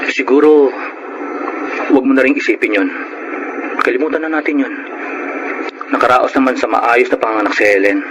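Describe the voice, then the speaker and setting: An actor with a Filipino accent playing a kind, apologetic married man in a radio drama, speaking gently and earnestly. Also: mid-range voice, normal speed, long pauses, background noise, lightly acted. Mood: forgiving. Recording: loud music bed behind the voice.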